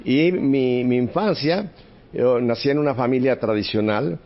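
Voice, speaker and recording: A man's voice: mid-range voice, unhurried. Chatty older Mexican man reminiscing. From Mexico City, Mexico. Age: 70s. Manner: chatty, warm reminiscing with frequent laughs. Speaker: podcast guest. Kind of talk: relaxed storytelling in conversation. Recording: slightly muffled podcast audio.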